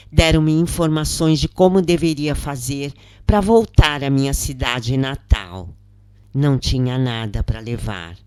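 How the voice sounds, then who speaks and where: A narrator with a Brazilian accent, reading a first-person story aloud in a measured, deliberate way with pauses between phrases. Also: mid-range voice, darkish tone, smooth, no echo. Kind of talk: scripted narration, audiobook-style reading of a first-person spiritist account.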